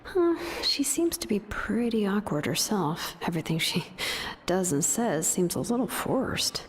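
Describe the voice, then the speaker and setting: A woman's voice: medium pitch, big pitch swings, measured pace, slight background noise. A woman playing a laid-back, dryly observant young woman in a video game, with a casual delivery. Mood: dryly musing. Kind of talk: video game character acting.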